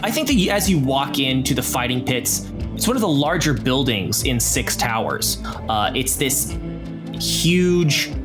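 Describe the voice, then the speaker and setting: A man narrates a tabletop game as its game master. He is unhurried and very animated in intonation, pausing to improvise the scene, with music clearly underneath. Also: medium pitch.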